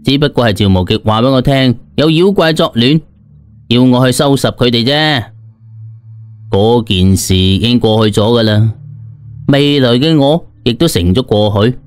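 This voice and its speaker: A male storyteller with a mid-to-low voice reads a colloquial tale in the first person. He is expressive and slangy, acting out the drama, with music playing behind him. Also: normal speed, clear, resonant tone. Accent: Cantonese. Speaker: audiobook storyteller. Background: background music.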